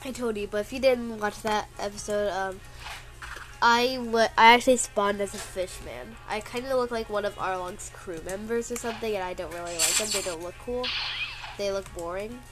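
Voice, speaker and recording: A congested young woman gives casual gameplay commentary in a middle-pitched voice, sounding sniffly throughout. She rambles from thought to thought and playfully whines whenever her character takes a hit. Loud game music plays behind her. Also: moderate pace. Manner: rambling and chatty, mock-complaining playfully when hit. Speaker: gameplay video creator.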